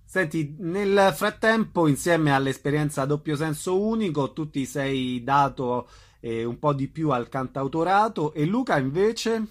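A man's voice: a little high, animated, unhurried. A man hosting a radio show, asking questions in a conversational interviewing style.

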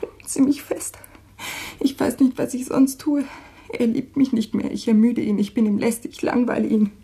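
A desperate young woman forsaken by her lover for a radio play. Her voice is husky and creaky with a dark, muted tone, and she pleads in short, anguished phrases. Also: on the high side, fairly even intonation. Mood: frantic pleading. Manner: anguished, halting, dramatic delivery in short phrases. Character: desperate, abandoned young French mistress pleading with a friend. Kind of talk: German radio play acting.

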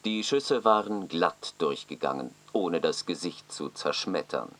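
A man's voice: low-pitched, expressive, measured pace, a bit bright. An actor playing a sober man giving a matter-of-fact report in a radio play.

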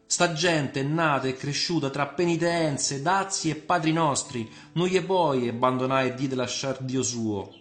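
A man's voice: medium pitch, a little slow, few pauses, a bit bright, echoey room, faint music behind, voice pushed up, natural voice. A man voicing a cocky, talkative young Roman man who banters and teases in a colloquial Roman accent. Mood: bantering, explaining.

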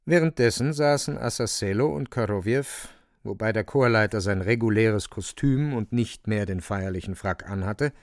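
A man's voice: fairly low pitch, normal speed, hardly any pauses, dry recording. Dry, close male radio drama narrator. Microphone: close studio microphone.

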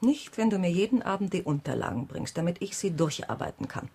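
A woman's voice: lowish voice, very animated, fairly quick, gravelly, mellow, breathy edge, heavily acted. An actress playing a controlling, impatient businesswoman wife confined to bed in a radio crime drama. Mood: stubborn, irritated.

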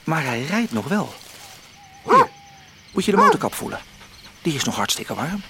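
Radio play boy detective: high, animated, eager and chatty, slight noise on the recording. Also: normal speed. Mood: curious, excited. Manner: lively, colloquial, eager. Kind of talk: Dutch radio play acting. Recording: faintly noisy old radio play recording.

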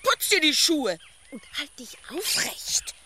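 An actress playing a strict, bossy middle-aged wife in a German radio play, giving emphatic, commanding instructions. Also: female voice, sits high, big pitch swings, slow, pushed and bright, slight background noise, full character voice. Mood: stern, urging.

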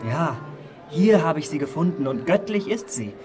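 A man acting an impressionable young gentleman in love in a German radio play, effusive, romantic and earnest. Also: fairly high pitch, big pitch swings, moderate pace. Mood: rapturous. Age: young adult. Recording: clear music behind the voice.

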